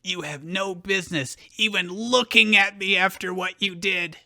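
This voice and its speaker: Guy doing a scornful angry young dude, high sharp voice, super dramatic snarling, fanfic reading. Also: normal speed, gravelly, no echo, clean studio sound, full character voice. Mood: bitter, scornful. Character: angry, vengeful, sarcastic young man, betrayed son. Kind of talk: fan fiction dramatic reading.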